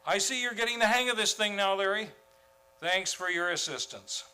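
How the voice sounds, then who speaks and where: Casting: male series host, theatrical old-time radio announcer, higher-pitched and very bright. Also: normal speed, clean tone. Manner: theatrical, grandly formal. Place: theater stage, before a live audience.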